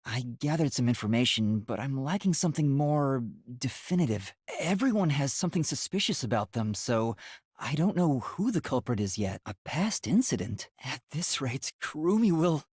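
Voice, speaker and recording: A teenage boy detective for a video game. The voice is breathy and boyish, with lively, swinging intonation. He sounds earnest and a little unsure as he reasons things through. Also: medium pitch, normal speed. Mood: troubled, resolute. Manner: earnest, pondering, hesitant. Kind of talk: video game character acting, often thinking aloud.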